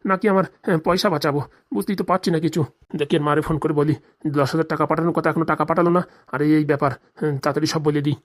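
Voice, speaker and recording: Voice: mid-range voice, natural voice. A performer voicing a nervous, evasive small-time male village doctor in a comedy cartoon, stammering, pleading and grumbling.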